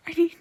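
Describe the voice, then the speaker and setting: A woman's voice: warm tone, no echo. A woman podfic narrator chatting casually to her listeners in an informal, giggly, self-deprecating way.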